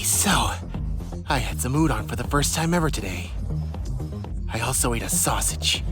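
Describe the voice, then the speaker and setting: A man's voice: mid-range voice, natural voice. A man playing a brash, crude young male devil hunter in an anime fan dub, cocky and slangy. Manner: loud, cocky, slangy delivery.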